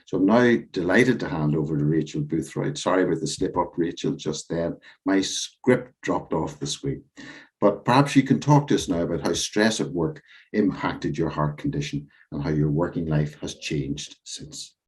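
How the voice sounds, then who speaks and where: Male host, very low voice, measured scripted delivery over a video call. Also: darkish tone. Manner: measured, with small slips and fillers. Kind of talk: reading a scripted webinar introduction.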